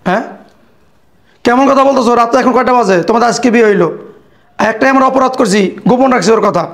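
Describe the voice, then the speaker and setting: A man playing an older father-in-law who tries to calm his son's bride. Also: very high-pitched, fast, creaky. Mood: placating. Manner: placating, reasoning. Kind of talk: comic domestic drama acting.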